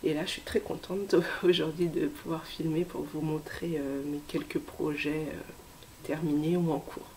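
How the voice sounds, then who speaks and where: A woman in her thirties, hoarse from a cold, hosting a casual knitting vlog with many hesitations and soft asides. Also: low-pitched, fairly even intonation, moderate pace, a little hiss or hum, low-quality recording. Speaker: knitting podcast host.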